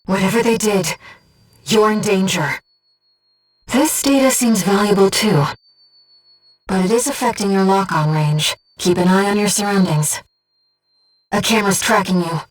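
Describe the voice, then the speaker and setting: A woman voicing a gentle, earnest disembodied guide to a mercenary pilot in a video game, with a soft, measured, composed delivery. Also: mid-range voice, recorded from a distance, lightly acted, poor audio. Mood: composed briefing. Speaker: mission support operator. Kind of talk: scripted video game voice acting.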